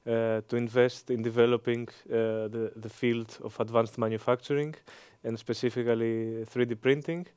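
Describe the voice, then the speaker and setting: Greek guy in his 30s, pretty flat monotone, lots of uhs, clear voice, dry room. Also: mid-range voice, moderate pace, few pauses, dry recording. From Greece. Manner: hesitant, frequent uh fillers. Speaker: interview guest, engineering professor. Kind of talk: conversational interview answers.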